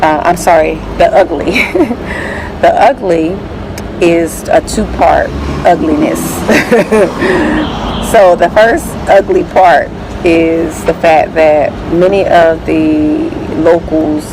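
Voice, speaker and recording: A low-pitched American woman talks earnestly in a casual vlog, stopping and restarting often. Street sounds, traffic and an air conditioner hum clearly behind her. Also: takes it slowly. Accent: American English. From United States. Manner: earnest, frequent fillers and restarts.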